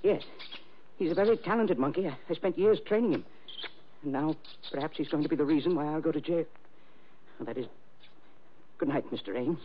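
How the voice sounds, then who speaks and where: An actor playing a frightened, polite little animal trainer who stammers apologetically, in 1950 radio mystery style. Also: male voice, fairly high pitch, fairly quick, long pauses, a little hiss or hum, close to an everyday voice. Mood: anxious, apologetic. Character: timid, terrified funny little animal trainer, polite man. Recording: muffled old radio transcription.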